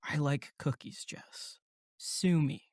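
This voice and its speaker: A woman voices a young male camp counselor, friendly and easygoing. The voice is low for a woman and recorded dry, with a gentle, peacemaking way of talking suited to audio drama. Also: fairly even intonation, takes it slowly, dry recording. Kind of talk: horror audio drama acting.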